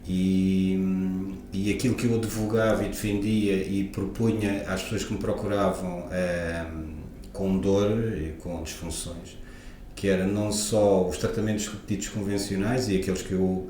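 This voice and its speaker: Deep-voiced Lisbon man in an echoey room. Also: flat delivery, normal speed, dark tone, slight background noise. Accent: European Portuguese, Lisbon area. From Portugal. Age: 40s. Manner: reflective, many hesitations. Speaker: osteopath guest. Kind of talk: casual interview talk. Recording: echoey room, off-mic, faint ambience.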